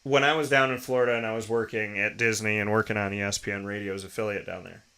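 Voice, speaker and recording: A man's voice: lowish voice, fairly even intonation, quick pace, sharp tone, clean tone. An American man in his thirties hosting a show with a motivational personal monologue, speaking emphatically and repeating phrases and rhetorical questions. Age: 30s. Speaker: show host.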